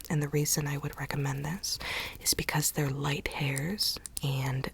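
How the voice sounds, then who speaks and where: A woman whispers close to the microphone in an ASMR consultation role-play. Her delivery is breathy, gentle and slow, as if explaining each step to a client. A faint hiss sits behind the low whisper. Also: flat delivery.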